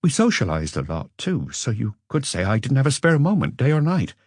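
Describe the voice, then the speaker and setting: A man's voice: mid-range voice, wildly swooping pitch, fairly quick, no echo, clean studio sound, pitched above the performer's own voice. A man voicing a weary businessman under police questioning, earnest, wordy and self-justifying, in an audiobook. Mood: defensive.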